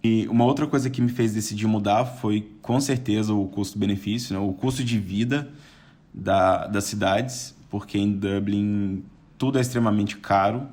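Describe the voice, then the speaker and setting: A young man with a Brazilian Portuguese accent chats as a podcast guest. His voice sits a little low. He rambles easily, restarting his sentences and laughing now and then. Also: a little mellow. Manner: chatty, with frequent restarts and laughs. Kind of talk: casual podcast chat.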